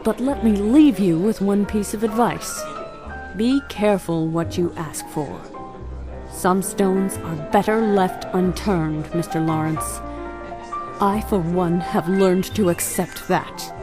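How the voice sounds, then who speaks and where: A haughty, cold lady of a radio drama, played by a woman. Her voice is mid-pitched, formal and stern, over clear background music. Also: slow pace, natural voice. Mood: cold, warning. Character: cold, haughty bereaved mother. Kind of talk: radio play acting. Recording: music behind the voice.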